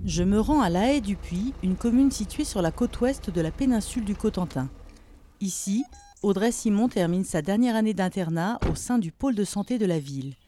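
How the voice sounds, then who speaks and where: A woman's voice: medium pitch, animated, normal speed, mellow. A woman reporter presenting a scripted documentary-style report, narrating in complete, prepared sentences. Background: background music.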